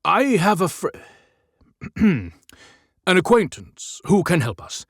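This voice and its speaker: Audiobook Santa: male actor as older, gruff, commanding, resolute hero, very dry sound. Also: sits high, very animated, slow pace, fairly bright tone, dry recording, voice pushed up, heavily acted.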